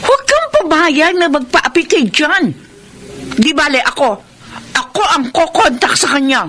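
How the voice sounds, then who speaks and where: A woman's voice: high voice, lively intonation, unhurried, a bit bright, a little hiss or hum. A woman acting an indignant, protective young cousin in a Filipino radio soap, outspoken and emphatic. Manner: scolding, emphatic.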